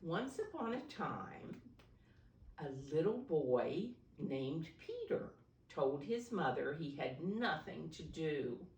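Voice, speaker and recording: Low-voiced woman, slow storytime teacher. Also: sing-song, darkish tone. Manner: simple, deliberate explaining, refers to herself in third person. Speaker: preschool teacher reading a story aloud. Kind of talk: read-aloud storytime for preschoolers. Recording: roomy echo, distant microphone.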